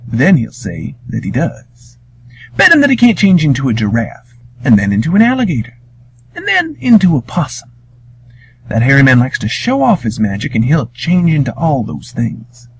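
A man's voice: medium pitch, wildly swooping pitch, moderate pace, husky, exaggerated voice, rough recording. A man voicing a wise old grandmother from the swamps who gives firm instructions in a raised voice. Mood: commanding.

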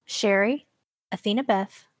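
A woman co-hosting a podcast, reading a list of names and a scripted message at an even pace. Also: mid-range voice, measured pace. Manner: reads out a list of names evenly. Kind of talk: scripted read.

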